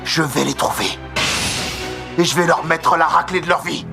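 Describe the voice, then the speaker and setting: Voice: mid-range voice, moderate pace, creaky, over music, lightly acted. A performer playing a combative, hot-headed teenage boy hero in a French anime dub, defiant and impassioned. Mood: fiery resolve. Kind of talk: French-dubbed anime acting.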